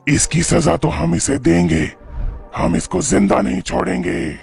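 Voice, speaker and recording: A man's voice: fairly high pitch, fairly even intonation, measured pace, vocal fry, darkish tone, faint music behind. A man voicing a vengeful female ghost who speaks in threats and commands in a horror cartoon. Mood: threatening. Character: vengeful female ghost possessing a young woman, menacing.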